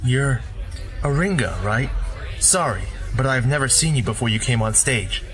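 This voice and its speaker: A man's voice: mid-range voice, moderate pace, soft background music, natural voice. A man voicing an easygoing, friendly drifter and former warrior. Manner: relaxed, friendly, wry.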